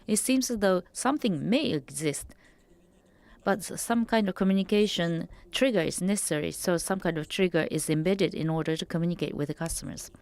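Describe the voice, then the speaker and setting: A woman giving simultaneous interpretation of a panel discussion, with measured, slightly stilted phrasing. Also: lowish voice, darkish tone.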